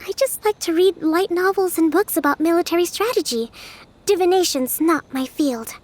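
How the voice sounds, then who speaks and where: A woman voicing a bookish, composed young shrine priestess and strategist in a video game, articulate and quietly confident as she reasons. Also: very high-pitched, moderate pace, slight background noise. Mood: composed, curious.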